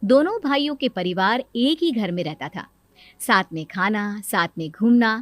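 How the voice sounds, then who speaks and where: A woman's voice: mid-range voice, expressive, moderate pace, dry recording. A woman narrating a scripted children's story, with music behind her. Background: background music.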